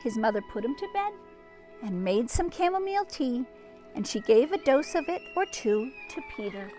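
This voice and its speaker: Female children's read-aloud host, high and very lively, expressive with dramatic pauses, over faint music. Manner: animated, dramatic pauses, exclaims and comments to young listeners. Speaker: children's storybook reader. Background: music and sound effects.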